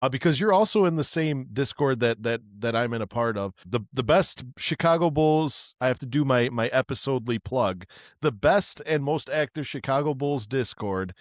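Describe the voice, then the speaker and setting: Man, podcast host, casual, halting, repeats words, dry close sound. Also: medium pitch, expressive, dry recording. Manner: stammering, repeats words while building questions.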